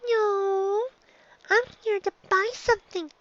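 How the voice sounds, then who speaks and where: Storybook little filly: very high, squeaky, hesitant, eager. Slightly dull recording. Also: level tone, slow, no room sound. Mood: eager, determined. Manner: soft, hesitant. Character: timid, eager little filly, a young girl pony.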